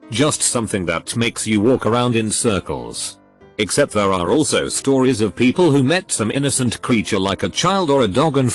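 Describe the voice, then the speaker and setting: A man narrating reader-submitted stories in a steady scripted read, spelling out abbreviations letter by letter. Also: fairly low pitch. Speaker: narrator reading forum posts. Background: faint background music.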